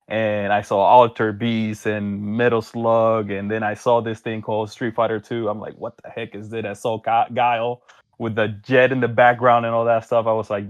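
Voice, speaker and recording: A man's voice: lowish voice, level tone, normal speed. A man from Venezuela and Miami, a podcast guest telling stories in casual slang and acting out bits of dialogue. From Venezuela, later Miami. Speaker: podcast guest, tournament organizer. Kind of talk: casual storytelling chat.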